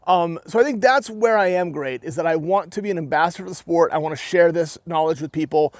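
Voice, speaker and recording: A man's voice: fairly high pitch, quick pace, no room sound. A young adult man with a New Hampshire accent, an armored combat fighter giving a casual, earnest rant to his video audience with many restarts. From New England. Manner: rambling and earnest, with frequent restarts and "right?" tags. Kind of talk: casual talking-to-camera rant.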